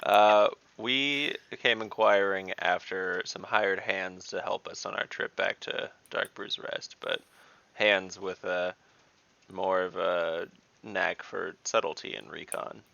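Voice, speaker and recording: A man's voice: deep voice, takes it slowly, creaky, bright, forceful tone. A man playing in an online tabletop game, joking, giggling and trailing into asides, over slightly muffled online audio. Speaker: player. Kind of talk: casual tabletop game play. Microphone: video call mic.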